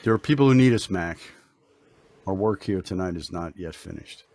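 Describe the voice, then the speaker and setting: A man playing a steady, solemn, dutiful ambulance partner in an old-time radio drama, speaking gravely and insistently. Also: deep voice, normal speed.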